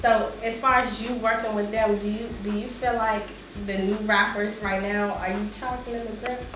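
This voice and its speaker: A woman hosting a casual studio interview, playful and teasing, dropping frequent shout-outs. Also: mid-range voice, steady tone, normal speed, lo-fi sound. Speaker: interview host. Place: small recording studio. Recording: echoey studio, noisy.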